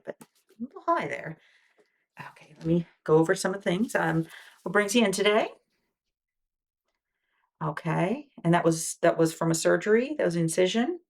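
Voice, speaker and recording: Woman, low gravelly voice, as a reassuring clinician in ASMR exam roleplay; soft, with whispered asides. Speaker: ASMR roleplay creator playing a wound care clinician.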